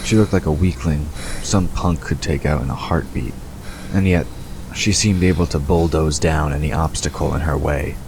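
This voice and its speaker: Male narrator, extremely deep voice, scripted fantasy audio drama narration, clear background ambience. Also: fairly even intonation, moderate pace. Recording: constant ambience noise behind narration.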